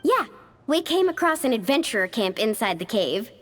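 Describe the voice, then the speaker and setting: A woman's voice: a little high, sing-song, moderate pace, slight background noise. An actress voicing a blunt, casual young woman. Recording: game scene with faint music.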